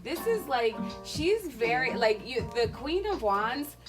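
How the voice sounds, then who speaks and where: A woman giving a casual tarot reading in an informal, halting, reassuring way. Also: on the high side, big pitch swings. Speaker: tarot card reader. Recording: loud music behind the voice. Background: loud music.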